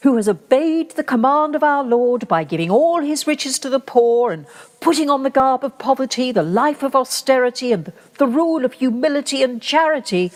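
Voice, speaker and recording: A woman playing a grave, gently zealous medieval Inquisitor in a radio play, speaking in measured, solemn rhetoric. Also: medium pitch, lightly acted. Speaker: actor. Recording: reverberant hall-like live recording from home.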